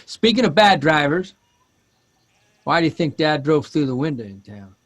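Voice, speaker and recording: An actor playing an easygoing, curious grown son of farm folk in an audio drama, mild, good-natured and conversational. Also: male voice, on the high side, sing-song, normal speed. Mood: thoughtful, amiable.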